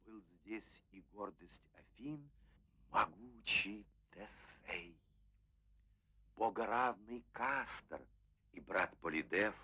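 An ancient Greek hero narrating an epic cartoon tale. His delivery is slow, declamatory and solemn, in a low, forceful voice. The sound is a dull old film track with music. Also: animated, a little hiss or hum, full character voice. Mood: solemn, nostalgic.

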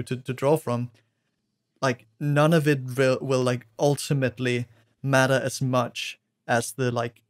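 A man on a podcast panel discussing film casually, blunt and halting, often repeating words. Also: medium pitch, dry recording, clean studio sound.

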